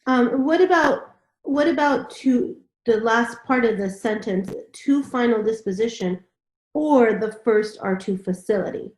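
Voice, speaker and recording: A woman's voice: fairly high pitch, unhurried, a little mellow. Woman in a roomy, echoing space. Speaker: panel member reading and discussing a standard. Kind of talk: read-along discussion.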